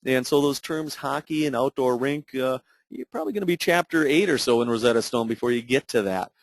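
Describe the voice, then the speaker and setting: Man, halting demo narrator, dry recording. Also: mid-range voice, lively intonation, dry recording. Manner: halting, filler-heavy explaining. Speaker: product demonstrator. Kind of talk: unscripted software tutorial narration.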